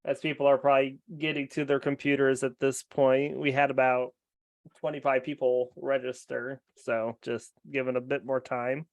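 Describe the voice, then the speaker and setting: A male research network coordinator opening a webinar, speaking in a brisk, practical way. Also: medium pitch, level tone, vocal fry, dry recording. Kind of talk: webinar welcome.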